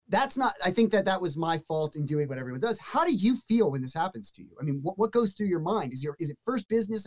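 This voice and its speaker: A man with a fairly high-pitched voice, hosting a casual interview podcast and asking rambling, animated questions. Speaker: podcast host.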